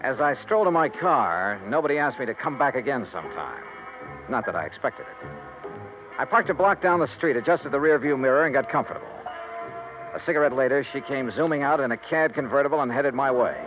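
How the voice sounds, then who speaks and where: A hardboiled insurance investigator narrates in a dry, sardonic drawl at a mid-range pitch, voiced by an actor. The sound is tinny 1950s radio, with music in the background. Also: male voice, very animated, a little fast, natural voice. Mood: wry.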